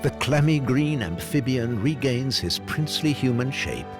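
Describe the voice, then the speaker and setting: A man narrating a scripted nature and folklore documentary, telling it in a measured, dramatic storyteller's way. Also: mid-range voice, expressive. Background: clear background music.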